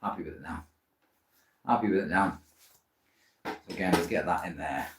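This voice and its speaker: A man's voice: low voice, moderate pace, background noise. A Welsh-born man hosting a live woodturning stream from his workshop, talking in a chatty, rambling, self-deprecating way. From Wales. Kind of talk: live workshop demonstration chat. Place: woodworking workshop. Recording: echoey workshop, distant mic.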